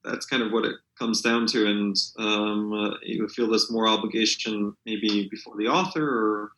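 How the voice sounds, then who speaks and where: A man's voice: on the low side, fairly even intonation, normal speed, sharp tone. Hesitant man in an echoey room. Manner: hesitant, many fillers and restarts. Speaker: literary translator. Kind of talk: conversational interview answers.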